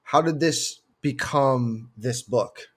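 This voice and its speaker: Husky-voiced man hosting an interview. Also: medium pitch, slow. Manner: casual, friendly questions with fillers. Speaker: podcast host. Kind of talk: interviewing a guest.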